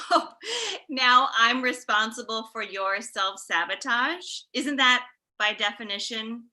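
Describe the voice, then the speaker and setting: A woman's voice: a little high, slow, sharp tone, heavily acted. A woman acting a critical, easily wounded mother of a grown son at a comedy table read, pointed and reproachful.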